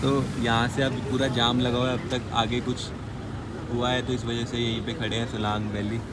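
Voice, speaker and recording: A man's voice: medium pitch, flat delivery, normal speed. A man vlogging from inside a car on a mountain road, chatty and explaining plans to viewers. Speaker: travel vlogger. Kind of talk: casual travel vlog narration. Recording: loud car and road noise.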